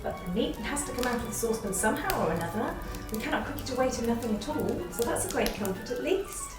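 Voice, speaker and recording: An actress playing a sweet, eager young Victorian sister with a playful indignant streak and genteel phrasing in a literary drama. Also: female voice, fairly high pitch, quick pace, natural voice. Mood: eager, anxious. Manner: animated, genteel, old-fashioned phrasing. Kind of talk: stage-style literary radio drama acting. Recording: very echoey, distant, music behind.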